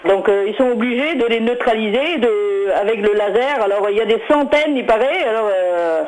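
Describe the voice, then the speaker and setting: A woman's voice: a little high, moderate pace, darkish tone. A woman in a casual phone conversation, speaking in a rambling, credulous and exclamatory way. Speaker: prank call recipient. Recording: noisy telephone line with clicks.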